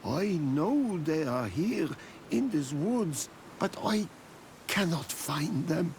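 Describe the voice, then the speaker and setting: A blind village gatherer in a video game, proud yet sunk in despair. He speaks slowly and solemnly in a fairly high, gravelly voice, halting as he goes. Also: big pitch swings, noisy background, heavily acted. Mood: mournful. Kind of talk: video game cutscene acting.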